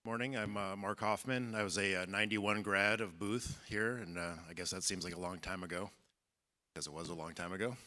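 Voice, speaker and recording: A man in his fifties speaking as a panelist at a conference, measured and reflective, with many fillers. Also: deep voice, steady tone, pushed and bright. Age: 50s. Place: conference hall.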